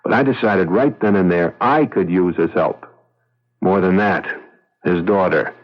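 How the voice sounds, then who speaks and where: An actor with an American accent playing a self-serving young agency gopher in a radio drama, slangy and hard-boiled, with a husky voice. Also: male voice, deep voice, unhurried. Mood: wry, reminiscing. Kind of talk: 1970s radio drama acting. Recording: dull vintage radio recording.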